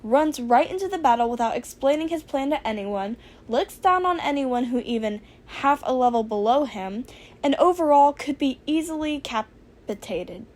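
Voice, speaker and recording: A sarcastic teenage boy hacker played by a woman in a fan fiction audio story. The voice is high and casual, with a snarky, deadpan edge and a flair for drama. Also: moderate pace. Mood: exasperated, sarcastic.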